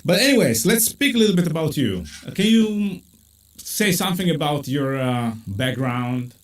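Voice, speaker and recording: Italian-accented male host, live-stream chat, animated and chatty, filler-heavy. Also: moderate pace. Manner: animated, many fillers, pitch jumps when excited. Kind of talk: casual video-call chat. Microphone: video call.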